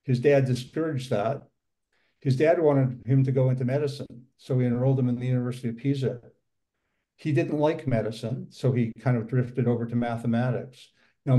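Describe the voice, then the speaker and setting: An older man giving a casual club presentation over a video call from a home room, halting with many fillers and restarts. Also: medium pitch, mellow, rough recording. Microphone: video call webcam.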